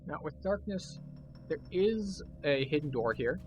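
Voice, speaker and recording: A man's voice: a little high, animated, measured pace, noisy background. A man giving a casual walkthrough commentary as he plays a video game. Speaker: gameplay guide and streamer.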